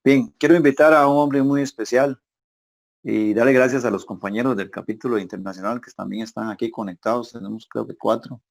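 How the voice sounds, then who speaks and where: A man acts as host and introduces a guest speaker. His voice is of medium pitch with a noticeably creaky, gravelly grain. The delivery is informal and unscripted. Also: steady tone, a little fast, a little mellow.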